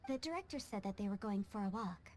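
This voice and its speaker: An actress playing a polite, helpful receptionist in a video game, courteous and slightly hesitant. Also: female voice, medium pitch, expressive, fast, noisy background, lightly acted. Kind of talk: English video game voice acting.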